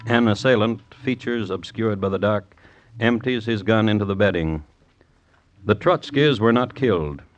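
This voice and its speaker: A man's voice: on the low side, moderate pace, muffled recording. A man giving grave, suspenseful scripted narration for an old-time radio drama.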